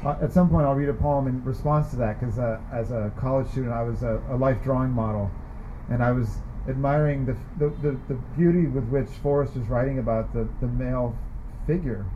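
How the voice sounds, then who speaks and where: A man's voice: mid-range voice, dark tone, slight background noise. Man from california introducing a poet, lots of ums, muffled lo-fi sound. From Sacramento, California, US. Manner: chatty, halting, with many fillers and restarts. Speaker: poet at a reading. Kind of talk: casual introduction and poetry reading. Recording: muffled lo-fi recording.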